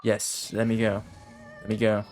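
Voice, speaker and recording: A man's voice: on the low side, completely flat delivery, unhurried, darkish tone, soft background music. A young man giving casual, slangy live commentary while streaming a video game, muttering asides to the game.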